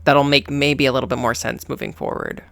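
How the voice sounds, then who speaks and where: Creaky, chatty game commentator, dry close sound. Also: medium pitch, level tone, a little fast, a bit bright, dry recording. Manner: chatty, slangy, playful. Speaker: reaction video host. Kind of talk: casual commentary on a game story.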